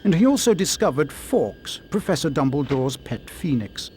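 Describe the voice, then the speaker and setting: A man narrating a fantasy game like a storybook, measured and expressive. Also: fairly high pitch, background noise.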